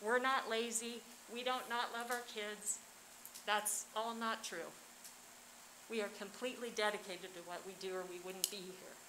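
A woman's voice: medium pitch, steady tone, normal speed, sharp tone, slight background noise, low-quality recording. A middle-aged woman giving a public comment speech as a teacher, in emphatic, short declarative sentences on a meeting room microphone. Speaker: teacher addressing a school board. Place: large indoor board meeting chamber.

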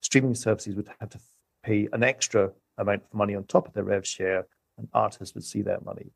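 A man guest on a podcast, calmly explaining music streaming economics and recapping his points step by step. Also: on the low side, brisk, vocal fry, husky, no room sound. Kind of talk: podcast discussion.

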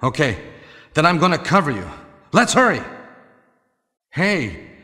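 A swooping, well-meaning action-game teammate. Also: male voice, fairly high pitch, big pitch swings, unhurried, sharp tone, full character voice. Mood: protective. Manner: stilted, exaggerated swooping delivery. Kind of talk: 1990s video game voice acting. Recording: long hollow reverb.

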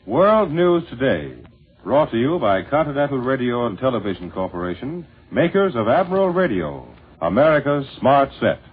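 A man's voice: medium pitch, very animated, moderate pace, breathy edge. Man, 1940s announcer, swinging intonation, emphatic formal delivery, thin muffled radio sound.

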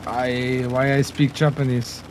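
A man's voice: medium pitch, level tone, unhurried. A man in casual game voice chat, sweary and exclamatory, with battle noise behind him. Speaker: gamer in team voice chat. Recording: gunfire and engine noise behind. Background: game gunfire and vehicles.

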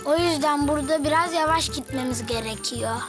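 An actor voicing an excited, playful young child in a preschool cartoon, exclamatory and eager. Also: high-pitched, fairly quick, lightly acted. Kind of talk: animated children's cartoon dialogue. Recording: music playing behind the voice.

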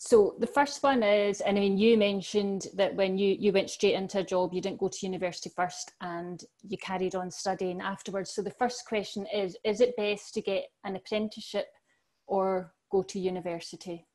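A woman moderating an online event, briskly reading out student questions to the guest. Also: mid-range voice, fairly even intonation, darkish tone.

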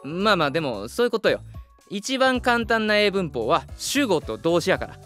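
A man acting an easygoing, well-meaning young high school teacher with a Kansai accent, mild and gently scolding. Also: big pitch swings, moderate pace. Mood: encouraging. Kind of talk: Japanese voice drama acting. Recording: music behind the voice.

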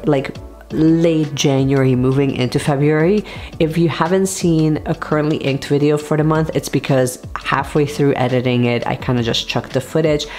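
A woman's voice: deep voice, mellow. A woman hosting a stationery channel in a casual, chatty showcase, her voice still recovering from being lost. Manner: chatty, conversational. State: voice recovering after losing it. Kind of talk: casual product showcase to camera.

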